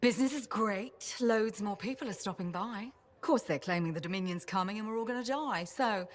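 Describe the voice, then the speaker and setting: A woman doing a chatty shopkeeper in a video game, with a mid-pitched voice and a darkly wry way of talking. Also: fairly quick, lightly acted.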